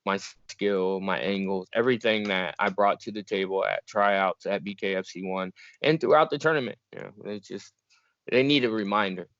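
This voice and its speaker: Young guy from virginia, chill fighter chatting on a video call, lowish voice, flat muffled sound. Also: moderate pace, dry recording. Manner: relaxed, easygoing, laughs often. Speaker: bare-knuckle fighter, guest. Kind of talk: casual remote interview. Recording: muffled dry call audio.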